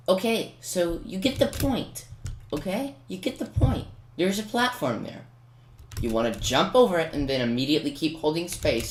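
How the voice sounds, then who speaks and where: A man giving casual tutorial commentary on a video game, hedging and correcting himself as he goes. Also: a little high. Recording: roomy echo, faint noise with key clicks. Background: computer keyboard typing and clicks.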